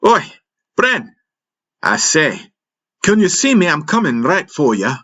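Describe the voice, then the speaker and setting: A man playing a gruff, joking, hot-headed spaceship pilot with rough, folksy old-salt talk in an audio drama, over music. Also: a little high, very animated, slow pace, a bit bright, voice pushed up, full character voice. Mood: joking, calling out. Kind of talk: audio drama character acting.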